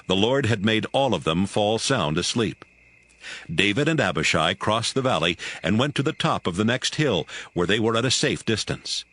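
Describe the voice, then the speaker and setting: A man's voice: fairly low pitch, animated, normal speed, fairly bright tone, no echo. A man narrating scripture as scripted dramatic storytelling.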